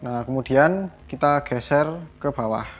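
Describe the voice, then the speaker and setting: A man talks through a hands-on demonstration in a casual, explanatory way, at an average pitch. He goes step by step like a hobby vlogger. The recording is a little muffled and lo-fi, with music in the background. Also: a little slow. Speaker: vlogger demonstrating a product installation. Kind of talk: casual hands-on tutorial narration. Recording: dull lo-fi sound, music behind.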